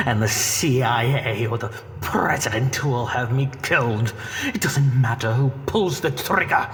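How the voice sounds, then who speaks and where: Slow, cunning male villain, played by an actor. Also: medium pitch, a bit bright. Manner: measured, taunting, drawn-out. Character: smug, cunning male villain, cornered but unyielding. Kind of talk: science-fiction audio drama acting. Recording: long reverb with faint music.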